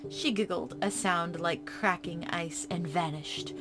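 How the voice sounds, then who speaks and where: A woman playing a horror visual novel, reading its text in a hush and breaking off into casual reactions. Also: on the low side, unhurried, music in the background. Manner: hushed reading broken by chatty reactions. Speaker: game streamer. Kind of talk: reading a game's text aloud with casual commentary.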